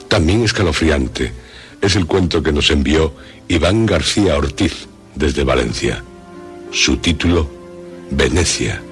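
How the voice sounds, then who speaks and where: A man presenting radio horror stories in deliberate, suspenseful short phrases. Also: a bass voice, a bit bright. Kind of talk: scripted, dramatic radio introduction.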